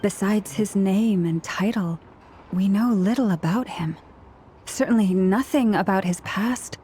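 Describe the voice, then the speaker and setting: A woman playing a composed, serious, dignified guardian in a video game, speaking in a measured way. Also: mid-range voice, dark tone, a little hiss or hum, close to an everyday voice.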